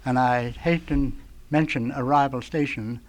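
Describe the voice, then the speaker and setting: A man's voice: mid-range voice, measured pace. Emphatic mature man reminiscing on radio. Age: middle-aged or older. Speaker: veteran radio sound effects man, guest. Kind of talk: nostalgic reminiscing in conversation.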